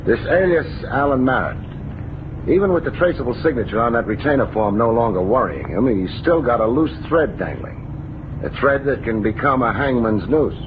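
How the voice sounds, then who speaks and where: An actor playing a wry, wisecracking American hardboiled detective in an old-time radio drama, with dry, theatrical phrasing. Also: male voice, medium pitch, lively intonation, normal speed, lightly acted. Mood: brisk, sardonic. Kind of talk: old-time radio detective acting and first-person narration. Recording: dull old radio broadcast, music, vehicle noise.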